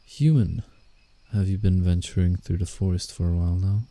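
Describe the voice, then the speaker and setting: A man playing a guarded, teasing but soft-hearted werewolf in a scripted roleplay ASMR drama, speaking in a measured, reassuring way. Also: deep voice, fairly even intonation, dry recording. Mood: earnest, guarded. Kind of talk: roleplay ASMR, scripted character acting with inner monologue.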